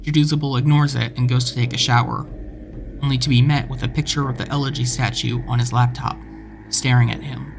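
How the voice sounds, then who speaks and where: A man's voice: medium pitch, level tone, a little fast, creaky, noisy background. A man narrating a scripted video essay about an internet horror story. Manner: reads quoted messages with rising intensity.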